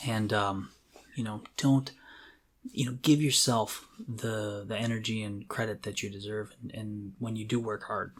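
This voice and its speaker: A man's voice: on the low side, fairly even intonation, unhurried. A man speaking casually and earnestly as a podcast co-host, giving emphatic advice on creative life.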